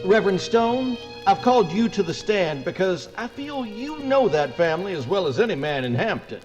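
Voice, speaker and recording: A man's voice: high-pitched, very animated, moderate pace, darkish tone, in a large hall, lo-fi sound, soft background music. A man playing an earnest, courteous small-town defense lawyer with formal, theatrical delivery in an old-time radio drama.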